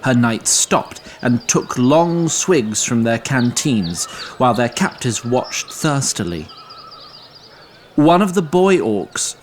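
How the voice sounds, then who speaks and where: A man reading scripted fantasy narration in a measured, literary, dryly wry manner. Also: medium pitch. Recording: faint ambient noise.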